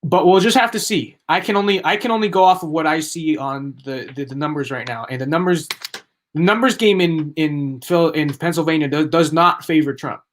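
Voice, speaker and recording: Young male streamer, slightly high voice, rambling and emphatic, voice going hoarse. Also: moderate pace. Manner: rambling, emphatic, repeats himself. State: losing his voice. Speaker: livestream political commentator. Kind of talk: live-stream commentary.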